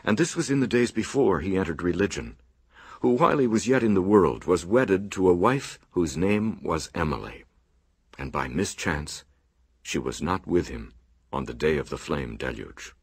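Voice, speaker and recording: A man's voice: low-pitched, lively intonation, normal speed, slightly rough, dry recording, full character voice. A man playing a solemn monk who recites his order's sacred history in archaic, oratorical radio drama style. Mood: solemn, reverent.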